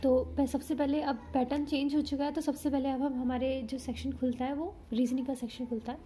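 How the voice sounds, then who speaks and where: A young woman from India casually talking through her exam, a little hoarse from a sore throat, chatty and explanatory with frequent self-corrections. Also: sits high, flat delivery, brisk, dark tone, a little hiss or hum. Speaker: exam candidate reviewing her paper. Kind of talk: casual vlog talk.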